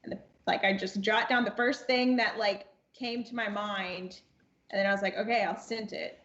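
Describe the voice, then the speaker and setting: A woman's voice: mid-range voice, steady tone, moderate pace, a bit bright, reverberant, muffled recording. A woman in her twenties telling a casual anecdote as a podcast guest, acting out quoted dialogue and repeating phrases as she goes. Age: 20s. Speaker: podcast guest, amateur voice actress.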